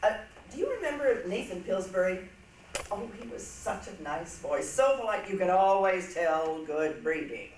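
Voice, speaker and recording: A woman's voice: medium pitch, lively intonation, a little mellow, close to an everyday voice. Woman acting a prim, strict old schoolteacher, very echoey. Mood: fond, prim. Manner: brisk, proper, condescending. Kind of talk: stage reader's theatre acting. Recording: echoey hall from far off, hissy.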